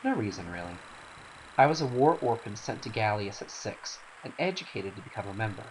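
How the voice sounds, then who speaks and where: A man's voice: on the low side, animated, a little fast, natural voice, poor audio. A man voicing a stern, pragmatic woman in a dramatic game scene, firm and admonishing in manner. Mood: stern, matter-of-fact. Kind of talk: dramatic reading of game cutscene dialogue. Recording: faint hiss.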